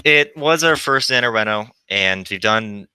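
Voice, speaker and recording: Male game developer, 20s, smooth resonant voice, close dry sound, relaxed conversational interview guest. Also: fairly low pitch, unhurried, no echo. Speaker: visual novel developer, guest. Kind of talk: casual chat about game development.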